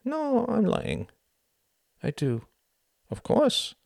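A man's voice: extremely high pitch, wildly swooping pitch, extremely slow, creaky, a little mellow, no room sound, clean studio sound, pitched above the performer's own voice, heavily acted. A man voicing an earnest, brooding young German man with a wistful, heartfelt manner. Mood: bitter.

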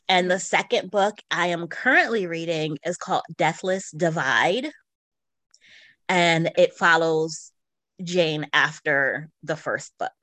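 A woman's voice: low voice, slow pace, a bit bright, dry recording. A woman chatting casually and enthusiastically as a podcast co-host, recommending things she enjoys. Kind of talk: casual chat, recommending books.

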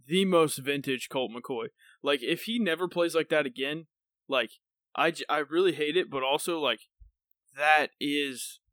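A young man co-hosting a casual sports podcast, talking loosely and excitedly with lots of "like" and "dude" and casual swearing. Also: mid-range voice, no echo. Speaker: podcast co-host.

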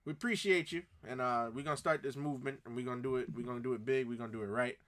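A man's voice: medium pitch, rapid-fire, fairly bright tone. A man co-hosting a podcast in casual chat, talking in a laid-back, slangy way and drawing out his phrases. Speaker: podcast host.

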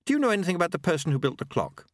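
A man puts on a knowing storybook woman, pitched well above his own voice. She is brisk and sarcastic, with lively swings of pitch and little patience. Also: no room sound. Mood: sardonic, probing. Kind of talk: audiobook character acting.

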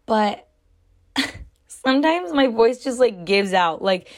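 Raspy young woman chatting on a podcast. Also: expressive, slow. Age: young adult. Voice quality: deep for a woman. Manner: chatty, loud, rambling with many fillers. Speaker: podcast host. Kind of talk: casual solo podcast talk.

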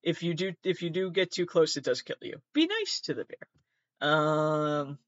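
Man, higher-pitched voice, casual reaction host, rambling, sardonic asides, sweary, slightly muffled lo-fi recording. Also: very animated, measured pace. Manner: sardonic, sweary asides and muttered self-talk. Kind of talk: casual rambling reaction commentary, reading aloud from web articles. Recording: roomy, slightly dull lo-fi sound.

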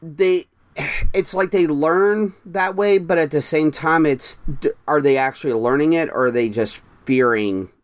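A man co-hosting a casual podcast, talking earnestly as a parent of a young son, with quick bursts and restarts. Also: on the high side. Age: young adult or middle-aged, parent of a six-year-old. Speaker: podcast co-host. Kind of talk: casual chat.

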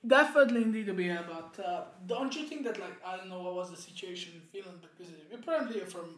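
Echoey, distant voice chatting haltingly. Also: mid-range voice, a bit bright. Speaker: podcast co-host. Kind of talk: casual chat over beer. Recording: echoey room, distant from microphone.

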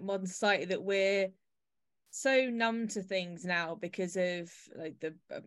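A woman hosting a podcast, chatting casually and reflectively about an audio play. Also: fairly low pitch. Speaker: podcast host.

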